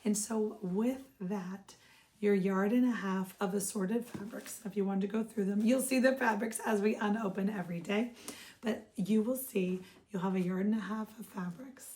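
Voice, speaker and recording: Hoarse, mellow-voiced woman craft vlogger. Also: mid-range voice, moderate pace. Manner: chatty, upbeat, calls viewers friends. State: hoarse, froggy from lost voice. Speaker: craft shop owner and vlog host. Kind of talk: casual show-and-tell vlog.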